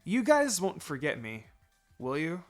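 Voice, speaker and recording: A man voicing a hot-tempered, excitable young ninja girl in a story reading, exclaiming impulsively, with music behind. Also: on the high side, measured pace. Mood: eager, affectionate.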